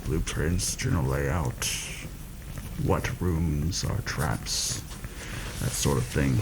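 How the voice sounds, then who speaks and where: Man playing a low, gravelly soft-spoken rebel assassin. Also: exaggerated voice. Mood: calm, instructive. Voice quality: gravelly, creaky. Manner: hushed, measured, conspiratorial. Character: seasoned, wry rebel assassin planning a palace heist. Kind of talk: soft-spoken ASMR roleplay. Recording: noticeable background noise.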